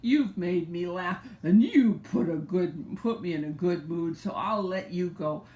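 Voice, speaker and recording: A grandmother doing a gruff grumpy lion king. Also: a little slow, mellow, lightly acted. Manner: lowered gruff growling voice. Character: huge grumpy male lion king, scornful. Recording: echoey room, faint background noise.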